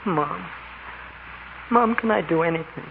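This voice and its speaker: A man's voice: a little high, unhurried, gravelly. An actor playing an earnest, impulsive teenage boy, pleading and stammering eagerly in 1940s radio style. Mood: tender, remorseful. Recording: tinny, noisy 1940s radio recording.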